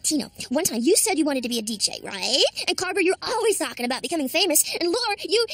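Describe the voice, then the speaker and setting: A bossy, ambitious preteen cartoon girl, her very high voice brisk and commanding as she takes charge. She sounds precise and driven. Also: very animated, fairly bright tone, full character voice.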